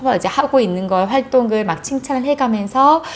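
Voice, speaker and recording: A woman's voice: a little high, brisk. A woman hosting a book recommendation for mothers, explaining things politely and conversationally like a teacher. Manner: explanatory, polite, acts out example phrases. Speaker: book review host, teacher.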